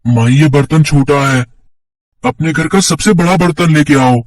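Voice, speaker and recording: A man playing a gentle, benevolent child-form god in a cartoon story, speaking earnestly and coaxingly. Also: a little high, unhurried, no room sound, recorded from a distance, natural voice. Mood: gently instructing.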